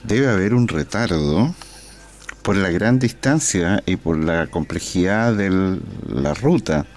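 A man's voice: low voice, animated, a little slow, darkish tone. A man from Chile with a Chilean Spanish accent, a radio operator talking effusively through a ham radio contact in sometimes hesitant phrases. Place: indoors at a desk, small room.

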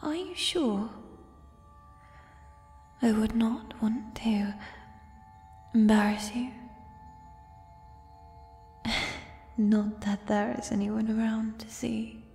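Woman whispering as a slow, tender giant troll girl. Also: on the high side, heavily acted. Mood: shy, tender. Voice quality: soft, breathy whisper. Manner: slow, formal old-fashioned phrasing, tender. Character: gentle, shy giant female mushroom troll, tender and nurturing. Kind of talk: ASMR roleplay, whispered. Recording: long reverb, music behind. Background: fantasy ambience music.

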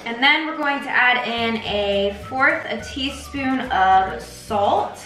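A young woman with a North American accent hosts a casual recipe video in an enthusiastic, chatty way. Her voice is bright and forward. It is picked up a little far from the microphone in a roomy kitchen. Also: fairly high pitch, slow pace. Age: young adult. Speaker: baker hosting a recipe video. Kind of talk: casual cooking tutorial to camera. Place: home kitchen, indoors. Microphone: camera mic a few feet away. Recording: roomy, distant camera sound with music.

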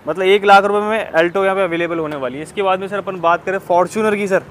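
High-pitched male vlog host outdoors. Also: a little fast, a bit bright. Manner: repeats answers back, deferential, addresses listeners. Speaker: vlog host interviewing a car dealer. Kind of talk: casual on-location market walkthrough, questions to a seller. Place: open-air used car lot. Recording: outdoor lot with clear ambient noise. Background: outdoor ambient noise.

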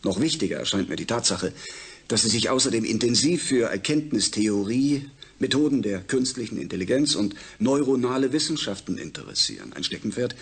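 An actor playing a suave, self-assured space project leader in a German radio drama, formal and measured with emphatic pauses. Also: male voice, medium pitch, sing-song, fairly bright tone, slightly rough, exaggerated voice. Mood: persuasive.

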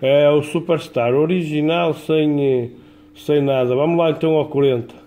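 A man with a European Portuguese accent chatting casually as an amateur radio operator, in a colloquial, halting way with repeated words. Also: on the high side, steady tone, warm tone. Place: indoors at a home radio desk.

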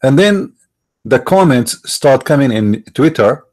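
A middle-aged man with an Arabic accent hosting a commentary monologue, halting, restarting words and stressing his points. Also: medium pitch, animated, a little mellow. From Middle East. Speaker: online show host.

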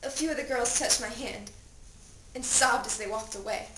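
A woman's voice: fairly high pitch, moderate pace, natural voice. A young woman performing a dramatic monologue as an anguished, pleading seventeen-year-old girl who has died, with theatrical delivery. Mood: sorrowful. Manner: theatrical, swinging from hushed telling to desperate cries. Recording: echoey room, distant mic, clear noise.

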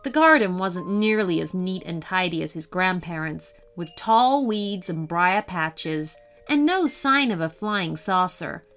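A woman narrating a children's bedtime story in an expressive storytelling manner. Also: on the low side, a little slow, hardly any pauses, soft background music. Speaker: storyteller and channel host.

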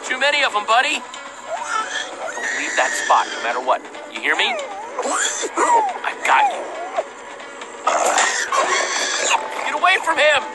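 An actor playing a young, earnest and protective adventurer in a video game, excitable in manner. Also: male voice, extremely high pitch, moderate pace, long pauses, full character voice, rough recording. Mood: alarmed. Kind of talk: animated video game voice acting. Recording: game music behind the voice.

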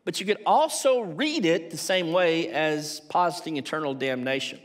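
Older Texan man lecturing, higher-pitched voice. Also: normal speed. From Texas, United States. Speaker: theology professor, conference speaker. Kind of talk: academic lecture with quotations read aloud. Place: large auditorium stage at a lectern.

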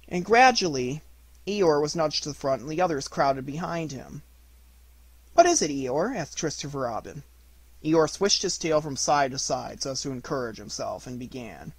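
Husky man reading a storybook, lively pitch. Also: no room sound. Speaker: audiobook reader. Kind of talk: scripted children's storybook narration.

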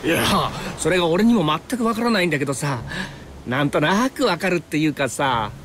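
A man's voice: on the high side, sing-song, fairly quick, a bit bright, slight background noise. An actor playing an easygoing but desperate young male fighter from a video game, with a casual, slangy, pleading way of talking. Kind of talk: anime video game voice acting. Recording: background music under the voice.

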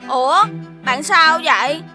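Cute caring cartoon creature, curious, southern vietnamese accent, high bright voice. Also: female voice, measured pace, faint music behind, exaggerated voice. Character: caring, curious little antennaed magical creature. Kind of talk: children's cartoon acting.

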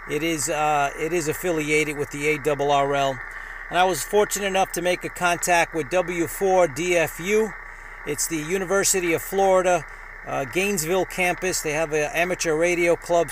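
Higher-pitched man vlogging over background noise. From New York, United States. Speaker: amateur radio vlogger and operator. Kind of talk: casual hobby vlog narration.

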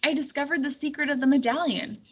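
A woman's voice: high voice, quick pace, highs cut off, pitched above the performer's own voice, close to an everyday voice. A woman voicing an earnest, anxious young male squire in a storybook read-aloud. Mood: earnest explaining.

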